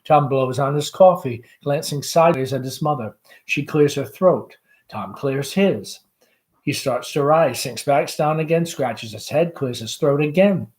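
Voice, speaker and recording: This is a narrator reading the stage directions of a play aloud. The delivery is measured and deliberate. It stays expressive at a medium pitch. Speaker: school principal reading aloud.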